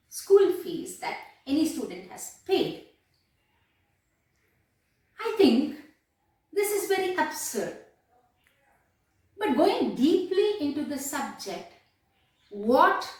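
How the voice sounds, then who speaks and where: A woman with an Indian English accent lecturing as a college professor, deliberate and emphatic, repeating phrases for her students. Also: sits high, a little mellow. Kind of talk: classroom lecture on a play. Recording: distant mic in echoey room.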